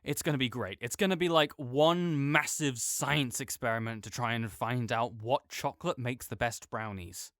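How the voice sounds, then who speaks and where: A man's voice: mid-range voice, moderate pace, few pauses, a bit bright, dry recording, clean studio sound. A young man in an audio roleplay, talking as a supportive friend in a playful, reassuring way.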